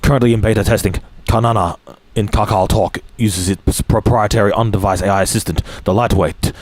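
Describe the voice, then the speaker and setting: A man streaming as he reads news articles aloud, with frequent restarts, throat clearing and dry offhand remarks. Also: fairly low pitch, steady tone, fairly quick, a little mellow, no room sound. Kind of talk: reading articles aloud with asides.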